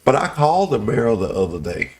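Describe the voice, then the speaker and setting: A man's voice: mid-range voice, sing-song, a little fast. A middle-aged man from Pensacola hosting a local talk show, telling folksy stories in casual Southern speech. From Pensacola, Florida.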